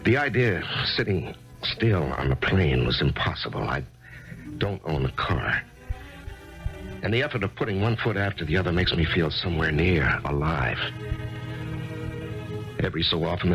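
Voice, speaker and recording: A deep-voiced, weary middle-aged writer, lost in grief and brooding aloud in an old radio play. His speech rises and falls widely, and he often stops halfway through a thought. The broadcast sounds dull and dated, with music running under it. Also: fairly bright tone, heavily acted. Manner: weary, halting, self-pitying. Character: grieving, worn-out failed author, middle-aged man. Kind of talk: 1970s radio drama acting with inner narration.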